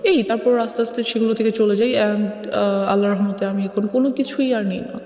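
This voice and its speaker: A woman's voice: mid-range voice, steady tone, brisk, darkish tone. A young woman with a Bangladeshi accent giving a conversational personal account as an interview guest, mixing in English phrases. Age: young adult. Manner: mixes English phrases into her talk. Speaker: interview guest telling her life story. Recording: echoey with long reverb.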